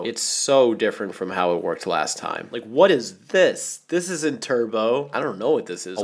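A man delivers casual commentary in the manner of a video reviewer. His voice is on the low side, with faint noise behind it.

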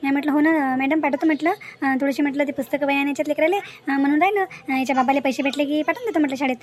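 A woman's voice: high voice, racing, lightly acted. A woman voicing a practical, worried mother of a poor village family in a moral-story cartoon, with a Varhadi Marathi accent. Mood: matter-of-fact. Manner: matter-of-fact, fretful.

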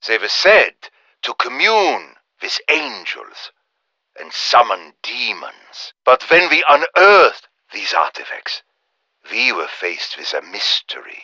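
Animated, bright-voiced professor. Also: male voice, medium pitch, slow, slightly rough, no echo, dull audio, exaggerated voice. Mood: scholarly, ominous. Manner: theatrical, precise, formal phrasing. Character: earnest, erudite German professor of demonology, embattled ally. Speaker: video game character, scholar adviser. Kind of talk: dramatic game voice acting, radio briefing monologue.

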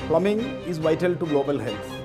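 A man's voice: on the high side, expressive, a little fast, loud music behind the voice. An older man explaining things formally and earnestly as an interviewed chairman. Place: indoors, house sitting room.

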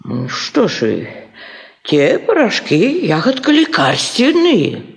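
A woman's voice: mid-range voice, wildly swooping pitch, slow pace, gravelly, full character voice. A woman acting a sly, coaxing old peasant schemer in a Soviet radio play, with folksy wheedling speech. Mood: coaxing, scheming. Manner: sing-song folksy wheedling. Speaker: radio drama actress. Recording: roomy 1950s radio recording.

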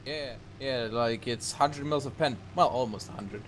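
A man's voice: mid-range voice, normal speed, a bit bright, a little hiss or hum. A man in his twenties giving casual gameplay banter, with profane and urgent callouts to his teammate. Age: 20s. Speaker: gaming video creator.

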